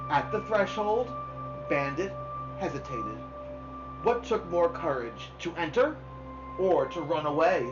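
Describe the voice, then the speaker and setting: A woman reading a children's novel aloud expressively, with dramatic pauses. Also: low voice. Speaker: storybook reader. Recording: dull lo-fi sound, music behind. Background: music.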